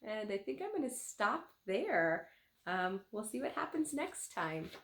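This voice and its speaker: Teacher lady doing an expressive kids' story read-aloud, really lively pitch, echoey room. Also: unhurried. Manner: expressive, animated reading with acted dialogue. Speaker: teacher reading aloud to pupils. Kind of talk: children's book read-aloud. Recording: roomy, echoing room sound.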